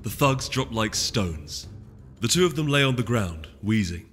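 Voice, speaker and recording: Guy reading a story aloud, animated delivery, echoey hall sound, music behind. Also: on the low side, a little slow. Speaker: audiobook reader. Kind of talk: scripted story narration.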